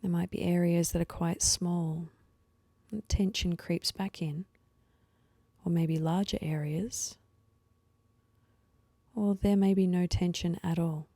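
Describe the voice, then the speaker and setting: A woman leading a guided meditation with slow, soothing instructions and pauses, her voice soft and at times breathy. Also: on the low side, steady tone, no echo.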